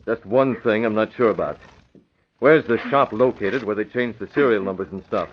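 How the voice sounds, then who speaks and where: An actor playing a tough, menacing masked vigilante in an old radio crime drama, commanding and hard-boiled. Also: male voice, fairly low pitch, moderate pace, lightly acted. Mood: threatening. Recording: muffled, noisy old radio recording.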